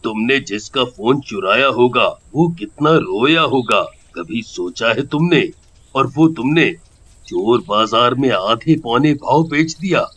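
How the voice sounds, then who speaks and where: A man voicing a vengeful male ghost in a cartoon story, talking slowly and threateningly. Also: animated, a bit bright, husky, full character voice. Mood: scolding. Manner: slow, low and threatening. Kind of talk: cartoon voice acting.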